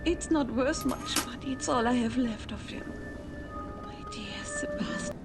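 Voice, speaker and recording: Gentle grieving woman, higher pitch, apologetic and soft, game cutscene under loud music. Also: animated, a little slow. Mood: sad, wistful. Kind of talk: video game cutscene acting.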